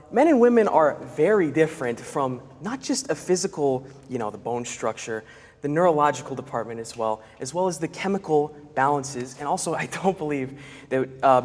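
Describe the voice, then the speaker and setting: A man who makes online videos, speaking haltingly with many pauses during a debate. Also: medium pitch, a little mellow, big-room sound. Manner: hesitant, halting. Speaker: YouTuber, debate participant. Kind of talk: casual debate.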